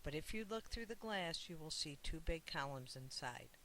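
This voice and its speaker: A reader voicing a gentle, reassuring mother of a young boy in a children's storybook. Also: medium pitch, lively intonation, normal speed, slight background noise.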